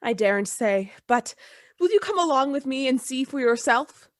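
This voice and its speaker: A woman's voice: sits high, expressive, moderate pace, dry recording, studio quality. A woman playing a frightened, loyal and deferential old household servant in a Gothic radio play. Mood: fearful. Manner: deferential, agitated, emphatic.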